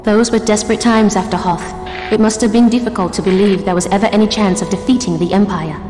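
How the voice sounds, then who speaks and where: A woman plays a sympathetic interviewer in a war game. She speaks briskly and reflectively, prompting a veteran's memories. Her voice echoes in a big space with music behind it. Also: mid-range voice, steady tone, lightly acted. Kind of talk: scripted game dialogue. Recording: echoing hall reverb, music behind.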